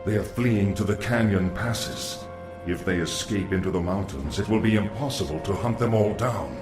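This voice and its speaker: An actor voicing a loyal, solemn undead sorcerer adviser in a video game cutscene, formal and deferential. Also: male voice, fairly low pitch, normal speed, natural voice. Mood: deferential, concerned. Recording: echoing voice with music behind.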